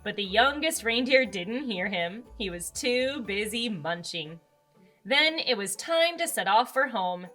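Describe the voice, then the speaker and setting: A woman reading a children's storybook aloud as narrator, expressive and with dramatic emphasis. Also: on the high side. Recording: music under the voice.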